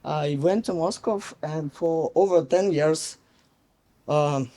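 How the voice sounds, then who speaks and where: Russian accent guy giving a speech, slow, lots of uhs, soft mellow voice. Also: on the high side. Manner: halting, many fillers and pauses. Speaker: guest speaker. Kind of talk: public speech.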